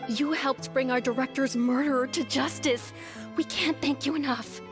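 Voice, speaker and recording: Grateful troupe member, high and sincere, game score. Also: female voice, steady tone, moderate pace. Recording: game soundtrack music behind.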